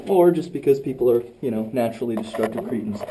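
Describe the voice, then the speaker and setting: A man's voice: medium pitch, animated, fast, dark tone, a little hiss or hum, poor audio. A man chatting and joking as he films inside a cramped cave on a head-mounted camera. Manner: wry, joking, rambling. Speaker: cave explorer filming. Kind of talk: casual chat while caving. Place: tight underground cave.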